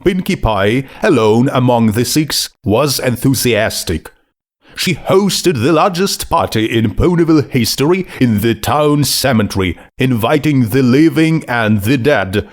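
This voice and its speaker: A man narrating a scripted story in a measured, deliberate storytelling manner. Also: mid-range voice, expressive. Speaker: fan fiction audiobook narrator.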